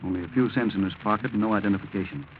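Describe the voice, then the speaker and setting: An actor playing a slangy, quick-thinking schemer who coolly takes charge, in 1940s radio drama style. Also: male voice, fairly low pitch, natural voice. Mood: calm, controlled. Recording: muffled, noisy 1940s radio recording.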